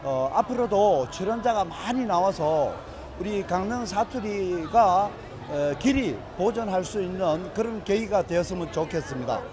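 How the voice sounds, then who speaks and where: A man in his sixties giving a formal interview outdoors, pausing on "eh" fillers as he speaks. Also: high-pitched, lively intonation. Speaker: head of a dialect preservation society. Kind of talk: interview comment. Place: outdoors under a festival tent. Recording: outdoor crowd behind.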